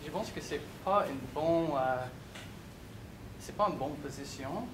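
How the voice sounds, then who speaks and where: A young man whose first language is English, speaking French with an English accent, haltingly searching for words as he comments from the floor of a lecture room. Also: on the high side. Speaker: audience member. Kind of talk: hesitant question from the floor. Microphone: far camera. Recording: echoey room from far off, background noise.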